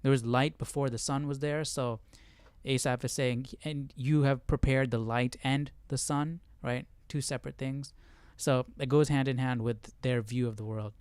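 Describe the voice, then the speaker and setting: Man, livestream host, smooth clear voice, close dry sound, informal commentary, frequent tag questions. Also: medium pitch, fairly even intonation, normal speed, darkish tone, no room sound. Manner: informal, often checks in with "right?". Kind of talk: casual Bible study livestream commentary.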